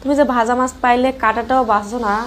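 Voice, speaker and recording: A woman's voice: a little high, fairly even intonation, fast talker, close to an everyday voice. A woman playing a coy, evasive married sister-in-law in a colloquial phone-call drama, chiding as she talks. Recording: faint background noise.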